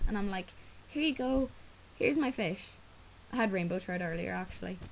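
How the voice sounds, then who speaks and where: A woman's voice: mid-range voice, lively intonation, normal speed, vocal fry, a little hiss or hum, poor audio. Bubbly teenage Irish girl with a sore throat. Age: teens. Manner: rambling, bubbly, many ums and likes. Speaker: vlogger. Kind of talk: casual chat.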